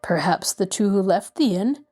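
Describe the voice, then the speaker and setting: A woman voicing a courteous middle-aged male lawyer who speaks in a measured, reassuring way. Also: mid-range voice, animated, darkish tone, natural voice. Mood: calm.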